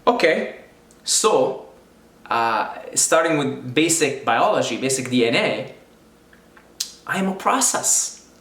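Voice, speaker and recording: A man's voice: medium pitch, expressive, slow pace, long pauses, a bit bright, echoey room. A man from Syria whose first language is Arabic, thinking aloud in a reflective spiritual monologue, repeating his phrases as he goes. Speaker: video creator speaking on spirituality.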